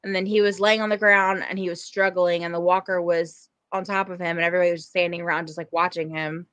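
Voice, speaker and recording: A woman's voice: fairly low pitch, flat delivery, fast, fairly bright tone, clean tone, muffled recording. A woman hosting a podcast, chatting casually about a TV show in a blunt, joking manner with plenty of swearing. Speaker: podcast host.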